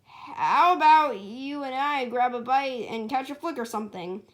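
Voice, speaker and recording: A woman's voice: high-pitched, measured pace, voice pushed up. Woman playing a cocky, flustered young hedgehog hero. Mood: nervous. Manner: boastful, flustered, stammering.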